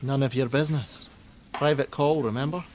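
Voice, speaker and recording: A man acting a gruff, jaded helpline worker. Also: mid-range voice, fairly even intonation, normal speed, a little mellow, slight background noise, close to an everyday voice. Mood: curt, defensive. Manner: blunt, sardonic, defensive. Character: jaded, gruff, hot-tempered male crisis-line worker and father. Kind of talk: naturalistic radio play acting.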